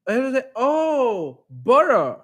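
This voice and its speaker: A man co-hosting a live podcast, telling stories in animated, repetitive bursts. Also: extremely high pitch, takes it slowly. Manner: animated storyteller, repeats words. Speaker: podcast co-host. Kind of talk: casual chat, live podcast.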